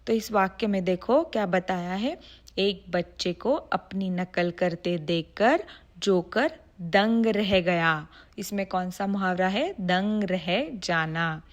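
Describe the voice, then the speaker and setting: A young woman teacher explaining idioms to children, slowly repeating sentences and asking her pupils questions. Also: lowish voice.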